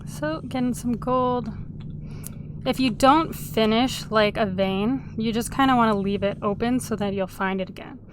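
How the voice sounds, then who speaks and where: A woman's voice: a little high, measured pace, noisy background. A woman with a sniffly cold, casually commenting while she plays a game and humming little tunes to herself. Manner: chatty, hums and sings little tunes while playing. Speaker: gameplay video host. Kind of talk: casual let's-play commentary.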